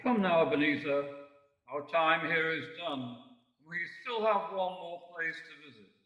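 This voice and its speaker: A man's voice: on the high side, pushed and bright, muffled recording. A man as a solemn, echoing Christmas ghost. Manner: measured, admonishing, theatrical. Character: solemn, reproving male Christmas spirit guiding a miser. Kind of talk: amateur radio play acting. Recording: echoey, reverberant, slightly noisy recording.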